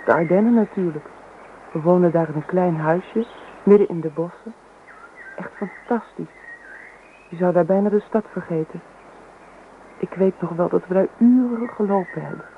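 A woman's voice: on the low side, moderate pace, pauses often, natural voice. An actress playing an emotional, defiant young woman in love in a Dutch radio play. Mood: dreamy, happy. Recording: tinny, noisy old radio recording.

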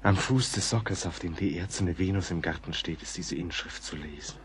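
An actor playing a courteous, rational gentleman writer in a radio drama, speaking in a formal, earnest way. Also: deep voice, brisk, slight background noise, natural voice. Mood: gentle, solemn. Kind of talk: German radio play acting.

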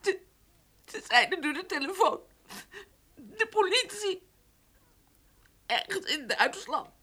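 A woman's voice: very high voice, big pitch swings, sharp tone, exaggerated voice. A woman playing a distraught mother in a radio play, crying and stammering as she speaks. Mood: grief-stricken. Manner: halting, stammering. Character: distraught mother of a grown farmer. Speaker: actor in a radio drama. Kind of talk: Dutch radio play acting.

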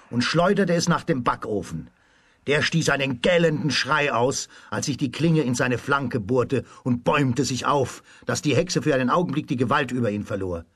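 A man with a husky voice narrating a children's radio fairy tale in a dramatic storytelling manner. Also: mid-range voice, no echo.

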